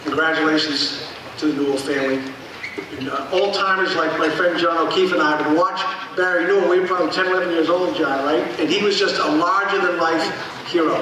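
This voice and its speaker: A middle-aged man with a head cold, hoarse, emceeing a ceremony with friendly asides, heard from far off in an echoey, noisy hall. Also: fairly high pitch, steady tone, a little fast. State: head cold, losing his voice.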